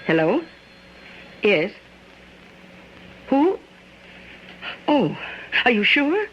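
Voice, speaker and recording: A woman's voice: fairly high pitch, sing-song, drawn-out words, full character voice. An actress playing a caring, earnest woman in a radio drama, speaking in a solicitous manner. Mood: distressed. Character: caring, anxious woman looking after an older gentleman. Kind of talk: old-time radio drama acting. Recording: muffled radio recording, faint noise.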